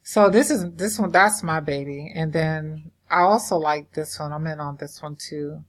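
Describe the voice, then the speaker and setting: A woman's voice: sits low, normal speed, slight background noise. A woman with a Southern American accent, chatting informally through a screen-share walkthrough, rambling with fillers and restarts. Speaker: crypto investing vlogger. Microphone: desktop microphone.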